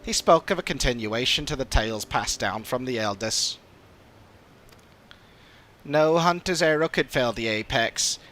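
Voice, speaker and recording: A man voicing a courteous, scholarly old father in a fantasy game, speaking in a formal, measured, old-fashioned way. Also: medium pitch, animated, fairly bright tone. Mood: thoughtful. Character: courteous old father, scholarly theorist recovering from long illness. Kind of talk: fantasy game dialogue read aloud.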